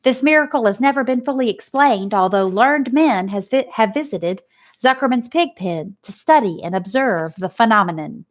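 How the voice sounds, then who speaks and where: A woman reading aloud and voicing a pompous male fair loudspeaker announcer with a grand, booming delivery. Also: animated, moderate pace, lightly acted.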